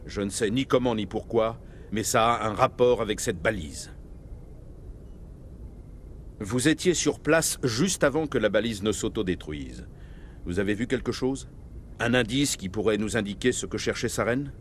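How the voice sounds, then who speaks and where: A commanding middle-aged starship captain, played by a male actor in a video game. He is grave and measured and speaks with military authority. A faint rumble sits behind the voice. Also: expressive, lightly acted. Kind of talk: dramatic video game dialogue.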